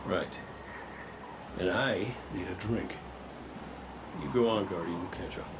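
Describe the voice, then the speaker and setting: An actor voicing a roguish, wisecracking robot gunslinger in a video game, cocky but soft-hearted, with a wry conversational delivery. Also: male voice, low-pitched, takes it slowly, very noisy. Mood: wry, reassuring. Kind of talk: video game cutscene acting.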